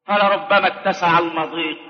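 A man preacher giving an impassioned, rhythmic sermon that rises to shouted calls to the congregation. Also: high voice, fairly even intonation, fairly quick. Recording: echoey hall, dull sound, faint crowd.

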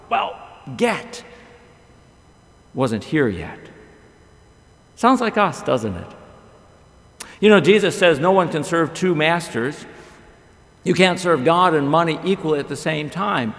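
Gravelly-voiced pastor preaching in a chapel. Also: male voice, a little high. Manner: animated storytelling with wide gestures and rhetorical questions. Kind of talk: chapel sermon. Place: large chapel with long reverb. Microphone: podium microphone. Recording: echoey chapel hall.

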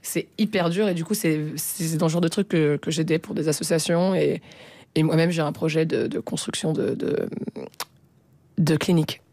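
A woman's voice: deep voice, steady tone, normal speed, dark tone, no echo. A young woman whose first language is not French, a guest answering interview questions in a radio studio with many fillers and restarts. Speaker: guest musician. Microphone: studio mic, headphones.

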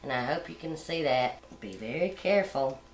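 A middle-aged woman hosting a cooking channel, explaining step by step how to decorate a sugar egg at home in a relaxed, homey way. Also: a bass voice, moderate pace, roomy sound, a little hiss or hum, poor audio. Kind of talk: hands-on decorating tutorial.

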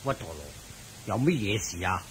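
A man's voice: fairly low pitch, lively intonation, moderate pace, slightly rough. An actor playing an overweight, weary king in a radio drama, sighing and complaining as he speaks unhurriedly. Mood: regal, casual. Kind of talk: Cantonese radio drama acting. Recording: faintly noisy radio drama recording.

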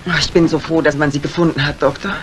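Casting: a woman to play a resolute lady crime writer, brisk and authoritative, in a faintly noisy old thriller recording. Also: sits low, steady tone, lightly acted. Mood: composed. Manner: brisk, commanding. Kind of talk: 1950s German dubbed thriller acting. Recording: faintly noisy vintage soundtrack.

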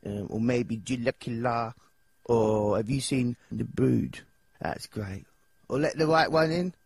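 An actor with an English accent voicing a nervous, dim, childlike young singer in a video game, hesitant and rambling in slangy speech. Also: male voice, mid-range voice, slow pace, creaky, a little mellow, slightly rough, dry recording, heavily acted. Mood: timid, friendly. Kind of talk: video game character dialogue.

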